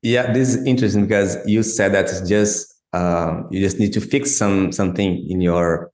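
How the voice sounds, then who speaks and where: A man's voice: low-pitched, steady tone, moderate pace, darkish tone. Man host, echoey room. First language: Portuguese. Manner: hesitant, many fillers and restarts. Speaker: podcast host. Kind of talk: interview questions. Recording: roomy echo.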